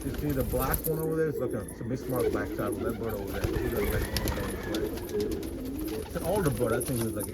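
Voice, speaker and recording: A man from India giving a casual, rambling tour of his backyard pigeon loft, full of fillers and restarts. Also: medium pitch. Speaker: pigeon keeper showing his birds. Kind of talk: casual vlog walkthrough. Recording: loud bird noise outdoors.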